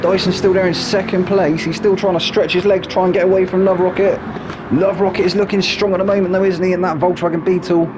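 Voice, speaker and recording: A man's voice: little pitch movement, quick pace. A man with a snuffly hay fever voice, commentating a sim racing league in a chatty way, full of tag questions. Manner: chatty, asks tag questions, drops low for asides. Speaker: race commentator. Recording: loud game engine noise behind voice.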